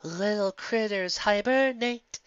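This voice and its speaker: A woman voicing a musician pony who sings gently and slowly. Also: mid-range voice, smooth, dry recording, voice pushed up, lightly acted. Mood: gentle, singing. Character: musician pony singing softly about sleeping critters. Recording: dull, muffled lo-fi recording.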